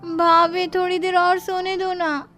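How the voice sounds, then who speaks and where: Woman acting a very high, whiny cartoon girl. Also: level tone, a little slow, bright, forceful tone, soft background music, full character voice. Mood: sleepy pleading. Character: sleepy, pleading young student sister-in-law. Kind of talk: cartoon story character acting.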